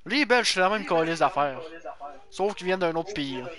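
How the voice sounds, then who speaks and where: A man's voice: high voice, expressive, moderate pace, a bit bright. A man with a Québécois French accent, joking and swearing casually while playing an online game with friends. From Quebec. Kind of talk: casual gaming chat.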